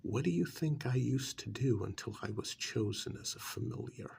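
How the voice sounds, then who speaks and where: A man playing a smug, pampered vampire's familiar recruiter in an ASMR role-play, cajoling and dismissive, with a gravelly voice that often drops to a breathy near-whisper. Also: mid-range voice, animated, darkish tone, slight background noise. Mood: dismissive coaxing. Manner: conversational, cajoling, with rhetorical questions and pauses.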